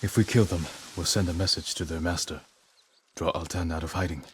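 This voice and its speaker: An actor playing a stoic, resolute noble samurai lord in a dramatic video game. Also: male voice, sits low, fairly even intonation, a little fast, a little hiss or hum, lightly acted. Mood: grave.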